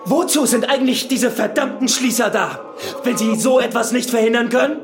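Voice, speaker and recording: An actor playing a raging prison doctor in a radio crime drama, scolding angrily. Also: male voice, extremely high pitch, brisk, faint music behind. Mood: furious. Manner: angry, scolding.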